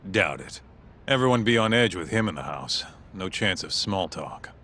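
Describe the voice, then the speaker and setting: An actor playing a laid-back, gruff associate in a video game, terse and offhand, with background noise. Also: male voice, low voice, lively intonation, moderate pace, bright, forceful tone, full character voice. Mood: unbothered. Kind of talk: English video game dub acting.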